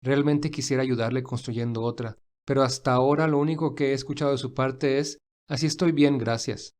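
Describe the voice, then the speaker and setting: Actor as kind, reassuring busy gentleman. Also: medium pitch, fairly even intonation, brisk, lightly acted. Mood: calm, friendly. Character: William, kind busy wealthy man, warm and reassuring. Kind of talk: acted audio fan fiction dialogue.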